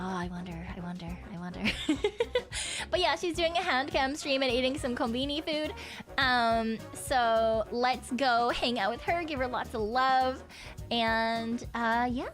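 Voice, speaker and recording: A chatty woman with a fairly high voice and a slight frog in her throat, rambling through a casual stream. She swears easily and laughs along between thoughts, with faint music playing under her. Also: fairly bright tone.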